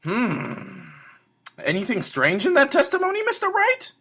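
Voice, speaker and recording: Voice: high-pitched, wildly swooping pitch, measured pace, bright, forceful tone, slightly rough, heavily acted. An actor playing a stern, easily startled elderly male judge in a fan-dubbed courtroom drama, speaking in a formal, pompous way. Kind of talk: fan dub courtroom game acting.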